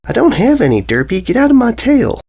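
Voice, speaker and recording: A self-assured little earth pony filly played by a man, challenging and defiant in storybook rhyme. The voice sits high and moves with animation. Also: wildly swooping pitch, fairly quick, darkish tone, breathy edge, full character voice. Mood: confident challenge.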